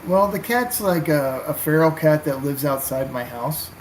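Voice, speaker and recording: A man's voice: fairly high pitch, lively intonation, normal speed, a little hiss or hum. Rambling man chatting about his hobby. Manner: rambling, hesitant with many fillers. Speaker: wargaming hobbyist. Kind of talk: casual chat while painting.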